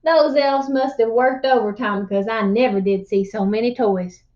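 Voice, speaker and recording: A folksy old man played by a woman spins boastful tall tales from a children's storybook. The voice is high and given to grand exaggeration, on a slightly dull, lo-fi recording. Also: normal speed, darkish tone, natural voice. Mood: wondrous, animated. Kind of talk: children's storybook read-aloud.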